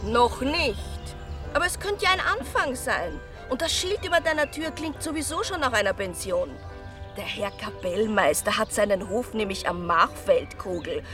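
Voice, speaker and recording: An actress playing a teasing, playful village pub waitress in a radio drama, with an Austrian accent. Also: female voice, fairly high pitch, lively intonation, normal speed, over music, lightly acted. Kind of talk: naturalistic radio drama acting.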